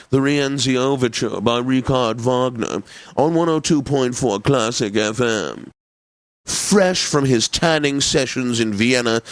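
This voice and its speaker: An actor playing a pompous, drily witty classical radio DJ in a video game, with formal, over-polite, theatrical announcing. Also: male voice, mid-range voice, no echo, natural voice.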